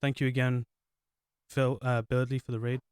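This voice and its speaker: A man's voice: mid-range voice, flat delivery, normal speed, darkish tone, clear, resonant tone, no room sound, studio quality. Chatty man hosting a gaming podcast. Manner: chatty, apologetic, rambling with fillers. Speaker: podcast host. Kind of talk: casual podcast chat.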